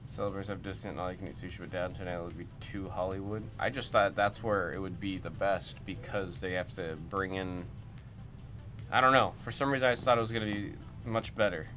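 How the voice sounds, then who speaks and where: A man's voice: sits low, steady tone, brisk, a bit bright. A young man hosting a livestream, chatting loosely and puzzling aloud over viewer comments. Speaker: livestream host of card breaks. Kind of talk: casual chat reacting to viewer comments. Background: music.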